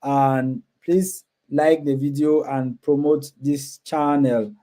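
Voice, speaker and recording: Mellow-voiced man chatting on a livestream. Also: fairly high pitch, steady tone, slow. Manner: repeats words and phrases. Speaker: live stream host. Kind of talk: casual livestream chat, reading and answering viewer comments.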